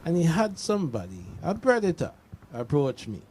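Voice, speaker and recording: A man's voice: on the high side, wildly swooping pitch, normal speed, a little mellow, a little hiss or hum. A man with a Caribbean accent talking casually on a panel, emphatic and often repeating himself to make his point. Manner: emphatic, repeats himself, checks listeners understand. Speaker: panel discussion participant. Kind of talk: casual panel talk with personal anecdotes.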